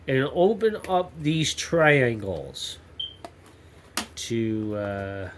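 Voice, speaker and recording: A man with a stuffy nose from a cold, rambling and joking as he hosts a workshop vlog, often cutting himself off mid-sentence. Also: medium pitch. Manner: rambling, self-interrupting, jokey. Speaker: YouTube maker channel host. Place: home workshop.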